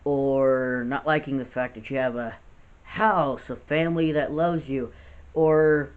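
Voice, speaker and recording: A man in his late twenties with a gravelly voice, talking earnestly and unscripted in a vlog, often restarting his sentences. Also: mid-range voice, fairly even intonation, a little slow. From United States. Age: 20s. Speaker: vlogger. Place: house with poor lighting. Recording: tinny phone-like audio.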